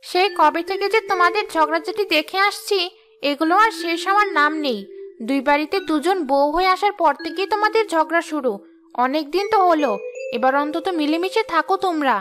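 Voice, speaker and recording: A woman playing a weary, motherly older mother-in-law in a village cartoon, gently chiding. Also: very high-pitched, brisk. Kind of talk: cartoon voice acting.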